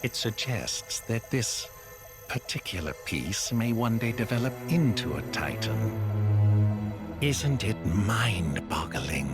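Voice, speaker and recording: Game villain-scholar: deep, measured, calculating, with loud music underneath. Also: male voice, very animated. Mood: fascinated, musing. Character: scheming, scholarly man fascinated by divine secrets. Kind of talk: video game cutscene acting.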